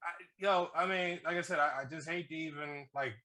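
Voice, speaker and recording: A man co-hosting a casual movie review, talking in an animated, slangy way with plenty of swearing. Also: fairly high pitch, brisk. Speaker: podcast co-host reviewing films.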